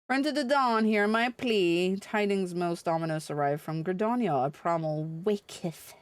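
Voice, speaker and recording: A woman's voice: on the low side, measured pace, clean tone, dry recording. A woman livestreaming a video game, chatting casually and reading dialogue aloud in an irreverent, blunt, sweary manner.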